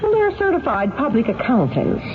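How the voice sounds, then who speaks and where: A woman's voice: sits high, huge pitch swings, normal speed, over music. A woman playing a frank widowed secretary questioned as a juror in a radio drama, answering tersely. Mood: frank, guarded. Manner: terse, matter-of-fact answers. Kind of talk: 1970s radio drama acting. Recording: tinny, noisy old radio recording.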